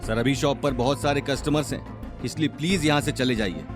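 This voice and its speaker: A man's voice: mid-range voice, brisk, over music, natural voice. Man acting a sneering, bullying shop salesman. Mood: dismissive. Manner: sneering, taunting, threatening. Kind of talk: Hindi audio drama acting.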